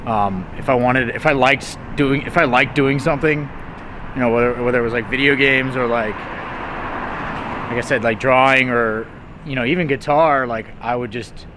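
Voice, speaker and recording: A man's voice: mid-range voice, moderate pace, pushed and bright, slight background noise. A young man from Texas, a music artist being interviewed, talking in a rambling, hesitant way full of fillers. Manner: rambling, hesitant, heavy use of "like". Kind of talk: casual interview talk.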